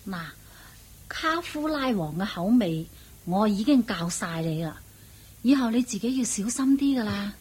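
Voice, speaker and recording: A woman's voice: medium pitch, animated, measured pace, darkish tone, breathy edge. A woman with a Hong Kong Cantonese accent playing a motherly, scheming palace cook in a radio drama, chatty and coaxing.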